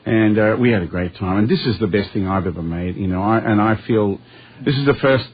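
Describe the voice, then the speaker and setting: A middle-aged man, a guest musician, telling lively anecdotes in a casual radio interview. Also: fairly low pitch, a little fast, slightly rough. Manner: animated storyteller, chuckles through anecdotes. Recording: dull lo-fi radio sound.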